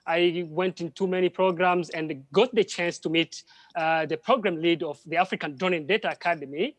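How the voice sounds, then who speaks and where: Halting man, high voice. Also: dry recording. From DR Congo. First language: French. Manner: halting, with frequent restarts and repeated words. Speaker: panel guest, drone project worker. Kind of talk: live panel conversation.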